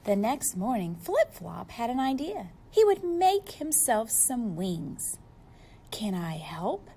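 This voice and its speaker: A woman's voice: fairly high pitch, very animated, slow pace, dark tone, breathy edge. A woman reading a storybook aloud to young children, expressively and speaking to them directly.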